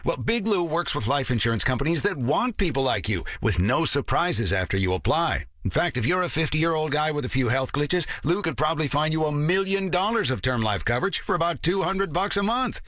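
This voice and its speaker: Male ad read, fairly high and animated, wry and chummy, dry close sound. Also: brisk, hardly any pauses, no echo. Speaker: ad announcer. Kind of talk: conversational radio ad read.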